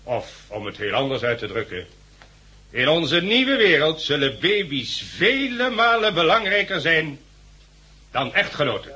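A stern leader of survivors in a sixties radio drama. His man's voice is fairly high and forceful, swinging widely in pitch as he lays down rules in formal, emphatic oratory. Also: sing-song, unhurried, a little hiss or hum, exaggerated voice. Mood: solemn, commanding. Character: stern male leader addressing survivors of a catastrophe.

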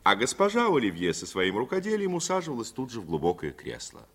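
A man narrating a 1950s radio drama as a storyteller, phrasing expressively and deliberately with dramatic pauses. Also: medium pitch, wildly swooping pitch. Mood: wry. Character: male storyteller fond of the coast and its people. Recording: 1950s radio recording, faint music behind.

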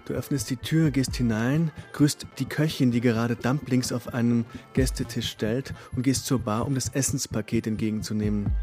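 A man narrates a radio play in the second person, in a measured, deliberate storytelling manner. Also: mid-range voice, mellow. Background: music underneath.

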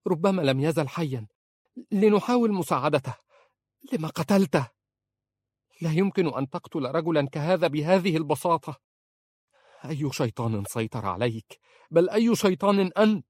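A man voicing a grieving young princess in an audiobook, his voice raised high and strained as she cries out. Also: animated, moderate pace, long pauses, a little mellow, dry recording. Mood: pleading.

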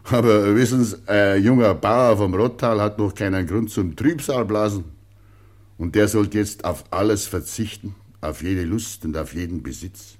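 An actor playing a well-meaning, cautioning village notary in a German radio play, with an expressive, admonishing manner. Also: male voice, fairly low pitch, moderate pace, close to an everyday voice. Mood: incredulous, admonishing.

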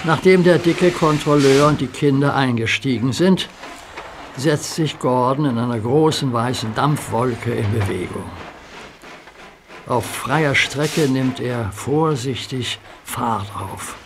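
Calm guy narrating a kids' train story, super slow, clear background noise. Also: mid-range voice.

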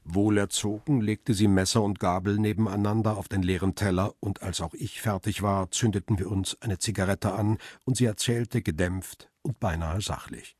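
Low, husky middle-aged man, reflective. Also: fairly even intonation, normal speed, hardly any pauses, darkish tone, no room sound, clean studio sound. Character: world-weary middle-aged male paparazzo narrating his story. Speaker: narrator and lead actor in a crime radio play. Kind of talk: radio drama, first-person narration and dialogue.

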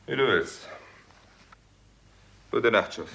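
A man's voice: fairly low pitch, lively intonation, slow pace, vocal fry, fairly bright tone, full character voice. A man playing a cool, decent, reserved doctor in a 1960s German radio crime drama, speaking drily and matter-of-factly. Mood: resigned, curt. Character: cool, decent, reserved male doctor turned amateur sleuth.

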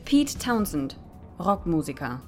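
An actress playing an informative documentary announcer, reading scripted narration in a radio play. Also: female voice, lowish voice, very animated, slow pace. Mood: factual. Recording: music behind the voice.

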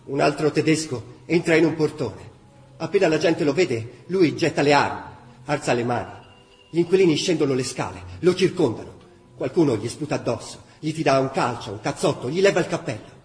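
An actor playing a sober chronicler of war events, narrating a scripted radio drama. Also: male voice, mid-range voice, darkish tone, lightly acted. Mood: tense. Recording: very roomy echo.

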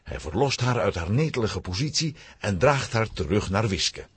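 A man narrating a children's adventure story in a scripted, dramatic, expressive style with a husky voice. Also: on the low side, moderate pace, background noise.

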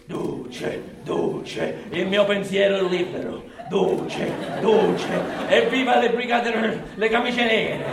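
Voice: mid-range voice, reverberant, recorded from a distance, noisy background. An actor playing a bigoted, self-important nationalist video blogger who declaims with coarse, emphatic repetition in a theatrical satirical monologue. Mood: fanatical.

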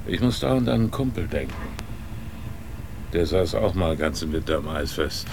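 A man acting in a radio drama as a gloomy, grumpy expedition member, brooding and gruff as he talks. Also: deep voice, animated, normal speed, darkish tone, a little hiss or hum. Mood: brooding, ominous.

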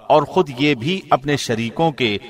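A man, a professional radio host, giving a calm, formal studio reading of a scripture translation. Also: a little high. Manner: calm, even, formal delivery.

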